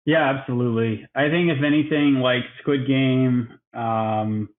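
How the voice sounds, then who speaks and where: A man's voice: medium pitch, fairly even intonation, unhurried. A man co-hosting a casual gaming podcast who talks in an easygoing, rambling way and laughs often. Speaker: podcast co-host. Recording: phone-like lo-fi line.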